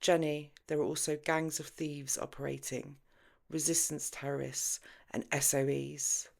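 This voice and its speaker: A low-pitched, earnest woman played by a female audiobook reader who disapproves and worries. She cautions and scolds like a serious friend in a storybook drama. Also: level tone, unhurried, creaky, a little mellow. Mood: insistent.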